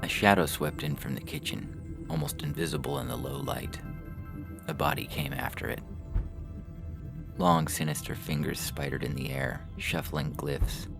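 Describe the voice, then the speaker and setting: A man's voice: very deep voice, vocal fry. A man reading scripted fiction as the narrator of a story. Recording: music bed under the voice. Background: music.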